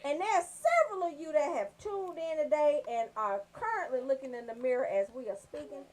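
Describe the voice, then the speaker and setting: A woman's voice: sits high, fairly quick, darkish tone, slight background noise. A woman telling comic stories as a radio show host, brash and freely swearing.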